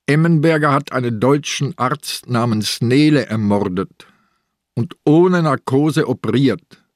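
A man's voice: mid-range voice, lively intonation, a little slow, no echo, studio quality, close to an everyday voice. An older man playing an exhausted but dogged police inspector in a radio crime drama, speaking in a weary, insistent way.

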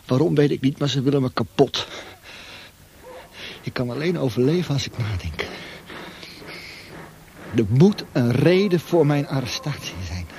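An actor playing a terrified imprisoned man in a Dutch radio play, reasoning with himself in a halting, hushed monologue with a breathy voice. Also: mid-range voice, slight background noise, close to an everyday voice.

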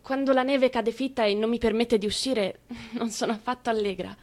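An actress playing a moody, dreamy young German woman in wartime, in an introspective theatrical monologue of measured short phrases. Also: fairly high pitch, fairly even intonation, close to an everyday voice. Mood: wistful, confiding.

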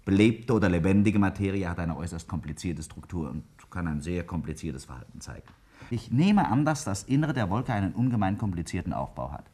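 A man's voice: low voice, big pitch swings, a little fast, mellow. A man acting a self-assured scientist in a 1950s German radio play, arguing didactically and emphatically with slight irony. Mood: explaining, insistent, then emphatic. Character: Kingsley, self-assured male scientist arguing a bold theory.

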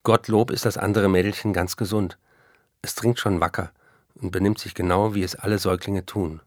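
An actor playing a restless, addicted male writer who narrates his life and letters in a literary radio drama, his voice gravelly. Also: low-pitched, fairly even intonation, a little fast, no echo, clean studio sound, lightly acted. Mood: earnest, composed.

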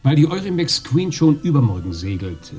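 A man's voice: on the high side, sing-song, normal speed, dark tone, faint music behind. An actor playing a jovial but condescending European trade agent in a radio drama, chummy and swaggering in his colloquial talk. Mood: businesslike, casual.

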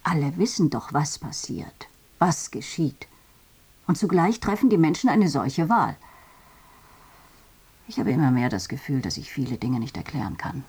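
A woman playing a sober, reflective journalist in a radio drama, speaking in a measured, husky voice. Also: low voice, lively intonation, darkish tone, heavily acted. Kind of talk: radio drama documentary monologue.

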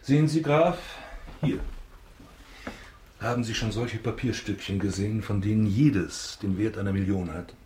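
An actor in a German radio drama playing a pompous, self-pitying banker baron, formal and theatrical. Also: male voice, lowish voice, moderate pace, a little mellow, slight background noise, close to an everyday voice. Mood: boastful.

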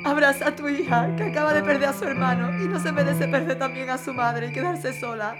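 A woman's voice: sits high, fairly quick, natural voice. A woman acting in an audio drama as a steadfast, compassionate companion on a wartime flight, urging and consoling. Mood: urgent, consoling. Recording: loud music and crowd behind.

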